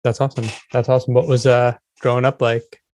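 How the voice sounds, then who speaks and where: A man's voice: mid-range voice, little pitch movement, quick pace, a little mellow. A man hosting a podcast, chatting in a laid-back, slangy way with some swearing. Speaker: podcast host interviewing a musician. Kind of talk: casual chat.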